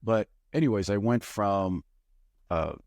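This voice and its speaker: A very low, gravelly male voice in his fifties tells his story in a relaxed, reflective way, often pausing with fillers. He is from Bulgaria and is a startup founder speaking as an interview guest. The sound is dry and close, with no room echo. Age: 50s. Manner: reflective, hesitant with many fillers.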